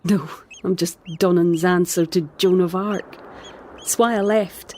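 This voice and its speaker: A woman playing a troubled, defensive island woman in an audio drama, speaking in a halting, distressed way. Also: on the low side, fairly even intonation, a little mellow, a little hiss or hum, lightly acted. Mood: defensive, bitter.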